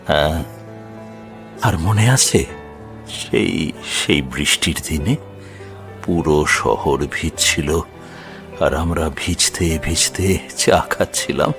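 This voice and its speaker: An actor playing a wistful middle-aged man reunited with a lost love in a romantic audio drama, speaking tenderly and haltingly. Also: rumbling low, creaky, music in the background, exaggerated voice. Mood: tender nostalgia. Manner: slow, halting, repeats words tenderly.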